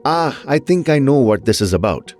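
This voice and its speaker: A man playing a calm, fatherly doctor in an audio drama, speaking politely and with careful concern. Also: mid-range voice, moderate pace, faint music behind, natural voice. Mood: measured concern.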